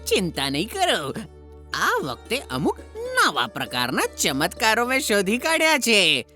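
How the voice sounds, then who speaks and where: Woman playing a bright, crafty male disciple. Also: medium pitch, wildly swooping pitch, measured pace, faint music behind, heavily acted. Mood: scheming. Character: crafty, eager male disciple of a fake guru. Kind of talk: children's cartoon voice acting.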